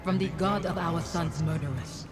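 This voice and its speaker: An actress playing the ghost of a grieving wife, solemn and reproachful. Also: female voice, lowish voice, normal speed, over loud music, natural voice. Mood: mournful, accusing.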